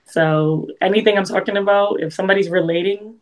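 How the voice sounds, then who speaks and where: A woman, a guest songwriter chatting casually in an interview, laughing often and talking in quick bursts with restarts. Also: medium pitch, steady tone, poor audio.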